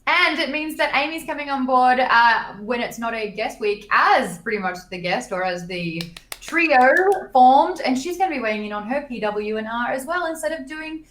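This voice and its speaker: A woman's voice: high-pitched, animated, normal speed, fairly bright tone. A woman co-hosting a casual video-game chat show, talking in a breezy way and reading viewer chat aloud. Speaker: co-host. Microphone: remote call, unmuted stream audio. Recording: echo on her line from not muting.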